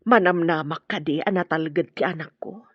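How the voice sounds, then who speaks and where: A woman's voice: deep voice, normal speed, no echo, dull audio. A woman with a Filipino accent playing a protective middle-aged mother who keeps a small store in a radio drama. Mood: solemn. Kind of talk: Ilocano radio drama acting.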